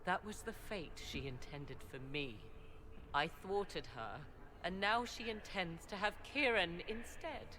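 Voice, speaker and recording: A proud sorceress stands her ground as a protective mother in a fantasy game. She is haughty and emphatic, with very lively intonation and noticeable ambience around the voice. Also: lowish voice, measured pace, a little hiss or hum. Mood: grave, protective. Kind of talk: dramatic video game acting.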